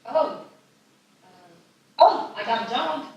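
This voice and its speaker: A woman's voice: high-pitched, lively intonation, slow, highs cut off, a little hiss or hum. Bold teenage girl, read by a woman, very echoey. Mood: friendly. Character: bold, teasing, caring sixteen-year-old girl. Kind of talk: live screenplay table read. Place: live festival reading in echoey room. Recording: very echoey room, distant mic.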